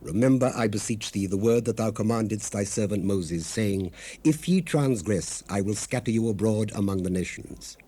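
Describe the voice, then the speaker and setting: An actor playing a devout, humble Old Testament cupbearer in a dramatized scripture recording, speaking with solemn, measured, formal fervor. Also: male voice, lowish voice, mellow, husky. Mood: fervent pleading. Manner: solemn, fervent, measured, formal archaic delivery. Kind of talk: dramatized scripture reading, 1970s audio drama. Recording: noisy unprocessed cassette rip.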